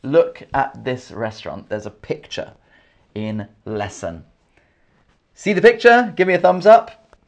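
A man from England with an English accent, teaching a live online English lesson, slowly repeating each question and thanking viewers by name. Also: mid-range voice, big pitch swings. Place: indoors, in Scotland.

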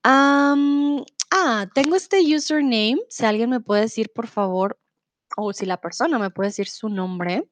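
A woman's voice: a little high, a little slow, dry recording. A young adult woman from Colombia with a Bogotá accent, teaching a live online Spanish class in a chatty way. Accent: Bogotá Colombian, with a little Mexican. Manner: chatty, reads out chat messages, switches to English and German.

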